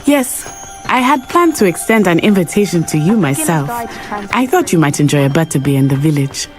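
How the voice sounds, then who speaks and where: A woman's voice: lowish voice, very animated, moderate pace, soft background music. A woman voicing a friendly, adventurous teenage schoolgirl witch in a video game, speaking in careful, formal phrasing. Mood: friendly, eager. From grew up abroad, in a land without snow.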